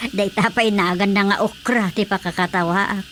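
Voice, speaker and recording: A woman's voice: mid-range voice, measured pace, a bit bright, natural voice. A woman acting as a chatty, grumbling mother in a comedy radio drama. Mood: puzzled. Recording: faint background noise.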